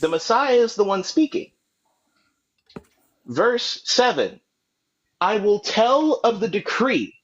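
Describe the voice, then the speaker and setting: Man, debate host, insistent, repeats himself, lively pitch, muffled lo-fi audio. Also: takes it slowly, pauses often, slight background noise. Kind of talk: live call-in debate. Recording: dull lo-fi stream audio.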